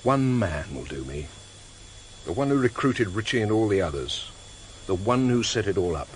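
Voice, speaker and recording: A man playing a dogged senior detective in a radio drama, deliberate and probing. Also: on the low side, very animated. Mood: brooding. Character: dogged, plain-speaking senior detective on his last case. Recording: hissy vintage radio drama tape.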